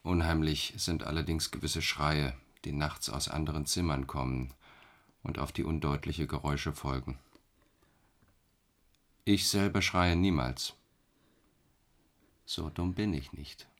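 Sehr tiefer, gelassener Anstaltsinsasse. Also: male voice, a bass voice, a little slow, pauses often, fairly bright tone. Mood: detached, wry. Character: detached middle-aged man confined in an asylum. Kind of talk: radio drama monologue.

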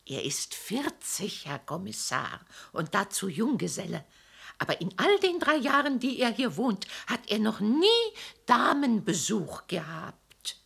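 A woman's voice: mid-range voice, sing-song, unhurried, gravelly, a bit bright, slightly rough, exaggerated voice. An actress playing a nosy, self-righteous neighbor woman in a radio play, indignant and theatrical. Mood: gossiping.

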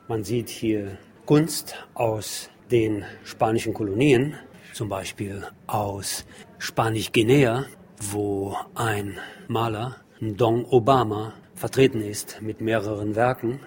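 A man presenting a narrated report on an exhibition, explaining in a measured way with deliberate phrasing. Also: fairly low pitch, fairly even intonation, a little mellow.

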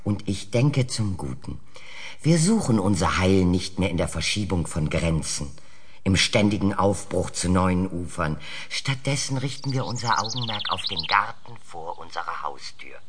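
Low-voiced formal man. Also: lively intonation, breathy edge. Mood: measured, assured. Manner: deliberate, formal, oratorical. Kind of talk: radio drama monologue.

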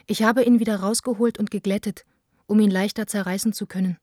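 A mellow, dark-toned female voice in a dry, close recording gives us a passionate, wounded young woman played by an actress. She reads candid letters as an intimate, plainspoken radio play monologue. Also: medium pitch, steady tone, fairly quick, dry recording, lightly acted. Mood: hurt, defiant.

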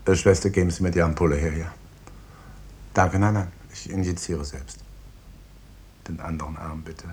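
An actor as a deep-voiced, stern medical professor. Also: male voice, steady tone, darkish tone, close to an everyday voice. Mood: clinical, brisk. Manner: measured, formal, moralizing. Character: grave, stern male medical professor, coolly authoritative. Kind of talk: 1950s German radio drama acting. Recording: noisy 1950s radio recording.

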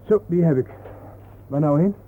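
A man's voice: a little high, normal speed, lightly acted. An actor playing an uneasy male visitor to old battlefields in a radio play, hesitant and full of questions. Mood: curious. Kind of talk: naturalistic radio play acting. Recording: noisy narrow-band old radio recording.

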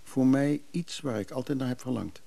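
An actor playing a weary, bereaved middle-aged traveling businessman in a radio drama, speaking in a husky, hesitant, reflective way. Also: medium pitch, moderate pace, mellow, a little hiss or hum, close to an everyday voice. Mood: grave, confiding. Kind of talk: naturalistic Dutch radio drama acting.

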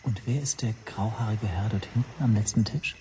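An actor playing an observant, nervous hotel guest who narrates his own story in a radio drama, measured and precise. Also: on the low side, a little mellow, slight background noise, natural voice. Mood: probing, quiet. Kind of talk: scripted first-person radio drama narration.

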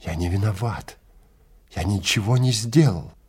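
A man's voice: lowish voice, a little slow, a little mellow, slightly rough. A man playing a frightened, defensive safari client who protests and pleads in a science fiction audio story.

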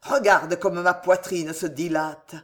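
A man's voice: high-pitched, steady tone, slow pace, fairly bright tone. A reader voicing a raving paranoid young king. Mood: anguished tender. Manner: feverish, swings from tender to shrieking. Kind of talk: audiobook character reading.

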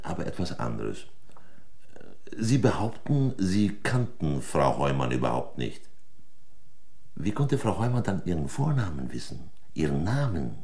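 An actor playing a shrewd, sardonic examining magistrate in a crime radio play, questioning in a pointed, condescending way. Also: male voice, low voice, very animated, a little mellow, exaggerated voice. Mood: coolly superior. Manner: pointed, condescending, deliberate interrogation.

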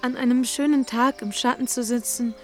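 An actress playing a meek, gentle, easily tired young woman in a radio drama, softly spoken. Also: fairly high pitch, steady tone, moderate pace, soft background music, natural voice. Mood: weary, gentle.

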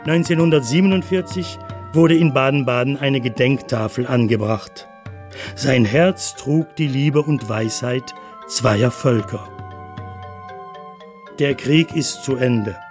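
A man delivering scripted documentary narration in a measured, factual manner. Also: mid-range voice, darkish tone. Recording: music faintly under narration.

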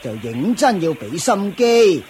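A man playing a pompous, strict master of forest ascetics in a radio drama, lecturing with drawn-out grunts and fillers. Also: high-pitched, big pitch swings, a little mellow, slight background noise. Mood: stern lecturing. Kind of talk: Cantonese Buddhist radio drama acting.

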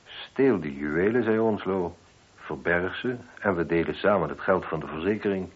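An actor playing a composed, probing police inspector in a radio play, speaking in a measured, formal way. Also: male voice, sits low, husky. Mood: explanatory, assured. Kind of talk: Dutch radio play acting. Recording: dull, muffled old radio recording.

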